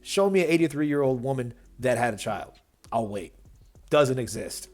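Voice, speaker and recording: An opinionated man runs a reaction video and talks through the clip in a loose, casual way. He rambles as he goes and fills the gaps with "you know" and "um." His voice sits at an ordinary middle pitch. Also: animated, normal speed, darkish tone, soft background music. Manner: opinionated, rambling with many fillers.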